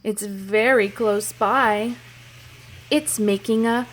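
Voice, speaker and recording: A woman childcare educator telling stories and playing with toddlers in a sing-song, animated, child-directed way, using simple phrases. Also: mid-range voice, slow, rough recording. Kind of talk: sing-song picture book storytelling and play for toddlers.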